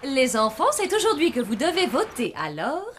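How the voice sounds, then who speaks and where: A woman voicing an orderly schoolteacher in a cartoon, formal and instructing in an animated way. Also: sits high, normal speed. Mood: brisk, official. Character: orderly schoolteacher running a class vote. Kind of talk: French cartoon dub acting.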